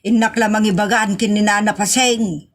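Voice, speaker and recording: An actress with an Ilocano accent playing a stingy, grumbling wife who whines out drawn-out complaints in a comedy audio drama. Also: female voice, medium pitch, steady tone, close to an everyday voice. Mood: whining.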